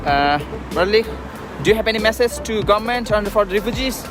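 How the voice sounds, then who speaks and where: A man with a Bangladeshi accent vlogging live from a street, speaking in a halting, earnest, repetitive way. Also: high-pitched, animated, music in the background. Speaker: vlogger and refugee activist. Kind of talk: live street vlog, informal. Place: outdoors at a busy roadside protest.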